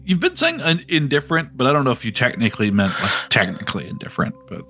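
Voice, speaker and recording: A man's voice: medium pitch, wildly swooping pitch, sharp tone. A man playing a tabletop game, chatty and joking with the game master. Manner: chatty, jokey, quick to laugh. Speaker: player. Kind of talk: casual tabletop play.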